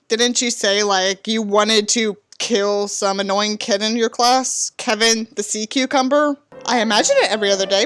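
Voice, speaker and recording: A woman's voice: mid-range voice, a bit bright. A woman doing a dramatic book reading with sarcastic, sweary asides in a theatrical manner. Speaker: book reviewer and reader.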